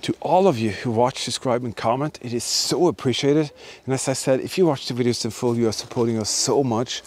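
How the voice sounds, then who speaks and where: A man's voice: mid-range voice, a little fast, darkish tone. A man hosting a history exploration video, narrating to camera as he walks through a forest with an emphatic, repetitive way of talking. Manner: enthusiastic, emphatic, repeats words. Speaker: host of history exploring channel. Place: outdoors in a forest and ruined buildings.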